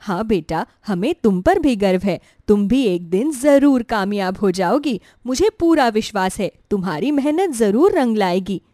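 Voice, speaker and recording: A woman voicing a caring middle-aged mother who gently scolds and soothes in an earnest way. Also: medium pitch, animated, measured pace, few pauses, no room sound, studio quality, lightly acted. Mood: reassuring.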